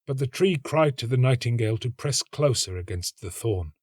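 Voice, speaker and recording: A man reading a literary story as an actor would, in a measured, dramatic and expressive narrating style. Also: mid-range voice, husky, dry recording, studio quality.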